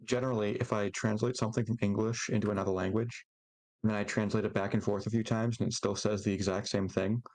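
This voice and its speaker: A friend on a voice call, chatting casually while cooking, halting and playful, trying out a phrase in another language. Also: mid-range voice, little pitch movement, a little mellow, dry recording. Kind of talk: casual group chat.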